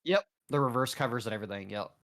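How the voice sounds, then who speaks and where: A man's voice: mid-range voice, animated, fast, fairly bright tone. A man chatting casually and a bit ramblingly as co-commentator on a game stream. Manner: rambling, frequent 'like'. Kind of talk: casual chat.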